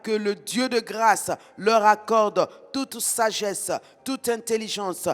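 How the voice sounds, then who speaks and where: A man's voice: sits high, slow. A man with an Ivorian French accent leading fervent prayer in a rhythmic, chanting way, repeating phrases with intensity. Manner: intense, rhythmic repetition, bursts into tongues. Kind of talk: fervent morning prayer, sing-song chanting. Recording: reverberant hall with soft music behind.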